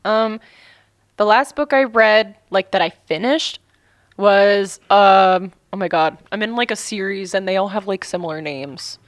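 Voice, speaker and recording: A woman co-hosting a podcast, joking and swearing casually in relaxed chat. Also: mid-range voice, a little slow, no echo, clean studio sound. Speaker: podcast co-host.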